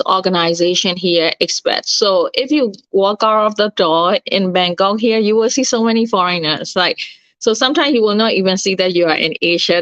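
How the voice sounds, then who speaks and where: A woman's voice: on the low side, fairly bright tone, clean tone, dry recording. A woman, chatting as an interview guest and searching for words with many fillers. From Myanmar. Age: 30s. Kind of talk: casual interview chat. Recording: dull, slightly lo-fi sound.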